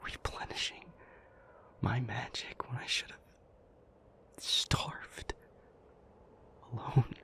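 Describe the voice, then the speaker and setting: A man playing an intense, anguished demon in an intimate audio roleplay, speaking in breathy, halting, deliberate phrases. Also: mid-range voice, level tone, pushed and bright. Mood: anguished, indignant. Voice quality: breathy, mostly whispered. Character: intense, anguished male demon, brooding and reasoning aloud.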